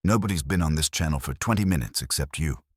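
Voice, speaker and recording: A man voicing a gruff, skeptical trucker talking matter-of-factly in a horror story. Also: rumbling low, expressive, darkish tone, no echo, studio quality, full character voice. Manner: slow, matter-of-fact.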